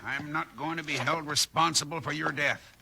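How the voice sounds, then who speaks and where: An actor playing a stubborn, menacing American mine boss in an old radio western. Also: male voice, on the high side, fairly even intonation, moderate pace, vocal fry, bright, forceful tone, full character voice. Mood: stern, threatening. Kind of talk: 1950s radio western acting. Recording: noisy old 1950s radio recording.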